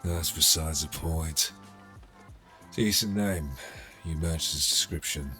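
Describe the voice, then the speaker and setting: A man's voice: rumbling low, takes it slowly, sharp tone, faint music behind, heavily acted. A man playing a cocky, sardonic criminal middleman in a cyberpunk ASMR roleplay, casual and streetwise, teasing as he talks. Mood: businesslike, streetwise.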